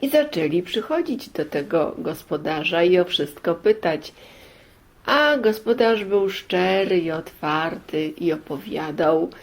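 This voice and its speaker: A woman storyteller telling a fairy tale in deliberate short phrases, with many pauses and repeats. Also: fairly low pitch, expressive.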